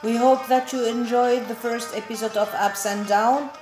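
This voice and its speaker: A woman's voice: on the high side, fairly even intonation, moderate pace. Enthusiastic woman host, echoey room. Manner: enthusiastic, addresses viewers as guys. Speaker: show host. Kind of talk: enthusiastic show hosting. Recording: echoey room with faint music.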